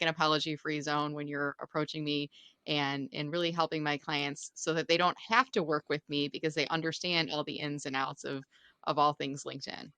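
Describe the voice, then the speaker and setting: Bright, crisp low-pitched woman. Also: no echo. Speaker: LinkedIn coach, podcast guest. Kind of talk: conversational explanation in an interview.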